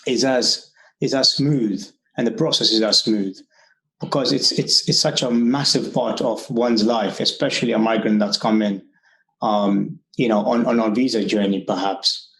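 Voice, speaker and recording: A man's voice: on the low side, steady tone, moderate pace, fairly bright tone, roomy sound, low-quality recording. A young man from Kenya with a mixed Kenyan and British accent, speaking earnestly in an interview, often restarting his sentences. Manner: earnest, many restarts and fillers. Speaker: immigration consultant. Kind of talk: conversational interview.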